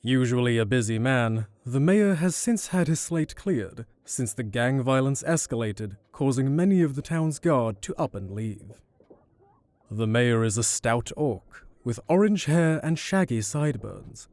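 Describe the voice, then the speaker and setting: A man's voice: mid-range voice, expressive, measured pace, darkish tone, no echo. A man reading scripted narration for an audio drama.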